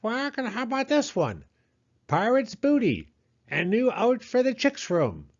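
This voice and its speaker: A man's voice: very high-pitched, huge pitch swings, measured pace, dull audio, voice pushed up, heavily acted. A man voicing a fussy, chattering female hen who collects treasures. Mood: chatty.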